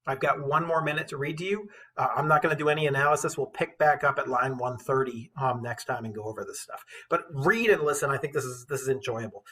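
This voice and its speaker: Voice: mid-range voice, fast talker, few pauses, bright, forceful tone, slightly rough. A teacher reading a poem aloud with dramatic storytelling emphasis, breaking into casual, informal commentary.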